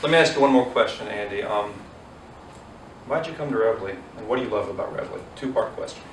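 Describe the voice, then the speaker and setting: A man's voice: on the low side, lively intonation, fast talker. A man hosting a casual interview as a church pastor, asking questions in a conversational way. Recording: echoey, distant mic, faint noise.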